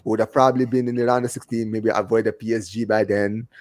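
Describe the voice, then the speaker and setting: A man's voice: on the low side, level tone, fast, darkish tone, no echo. A man hosting a live football fan show in casual, slangy chat, often repeating himself and stumbling as he reads out comments. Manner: slangy, repeatedly addressing listeners as man, bro and guys; stumbling, repetitive delivery. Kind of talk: casual livestream chat, reading out viewer comments.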